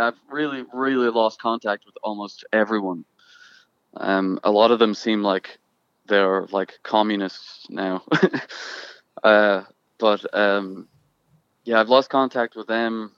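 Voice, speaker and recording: A fairly low-voiced young man with a mixed Irish and Scottish accent, speaking in halting, reflective bursts with frequent restarts. The sound is dry and a little dull, like a lo-fi recording. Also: fairly even intonation, clear, resonant tone, no room sound. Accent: mixed Irish and Scottish with American. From Ireland. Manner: halting, restarts often, thoughtful. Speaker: guest musician. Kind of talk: casual conversation.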